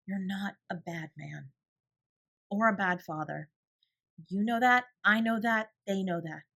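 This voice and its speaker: A woman's voice: medium pitch, unhurried, gravelly, pushed and bright, full character voice. A woman playing a fiercely protective older sibling confronting a parent in an audio drama, scolding and emphatic with a sarcastic edge. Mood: low, grave. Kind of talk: dramatic audio roleplay acting.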